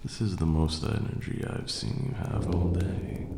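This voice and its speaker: A man playing an ancient vampire lord in an intimate ASMR roleplay, haughty yet tender, speaking formally and with measured care in a deep, gravelly murmur. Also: fairly even intonation. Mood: tender, wistful. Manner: measured, formal archaic diction, condescending yet doting. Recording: roomy echo, faint background noise.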